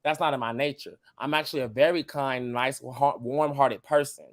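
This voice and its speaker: A man in his twenties who grew up in the United States, a show guest talking in casual chat, blunt and calm while he cusses. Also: mid-range voice, normal speed, dry recording, clean studio sound. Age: 20s.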